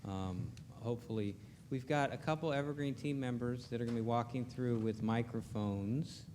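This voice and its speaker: A man hosting a conference Q&A in an upbeat, informal way. His voice sits mid-range, and clear background noise runs through the room recording. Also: mid-range voice, moderate pace, a little mellow, long reverb tail. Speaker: conference moderator.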